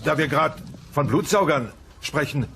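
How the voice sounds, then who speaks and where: A man's voice: a little high, animated, a little slow, a bit bright, faint music behind. A man playing a bumbling, blustering dinosaur husband and father in a German-dubbed comedy audio play. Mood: sheepish. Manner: evasive, wheedling, blustering.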